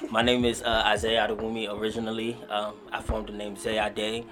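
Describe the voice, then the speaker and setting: A man in his twenties from Nigeria, a guest rapper telling stories in relaxed, slangy casual talk. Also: lowish voice, fairly quick. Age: 20s. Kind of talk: casual podcast interview.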